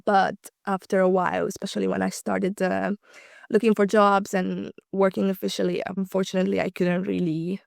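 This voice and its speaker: A woman's voice: medium pitch, vocal fry, dry recording, studio quality. A woman in her twenties from Slovakia, a native Hungarian speaker, chatting casually as a podcast guest with frequent ums and light laughs. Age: 20s. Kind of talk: casual conversational interview.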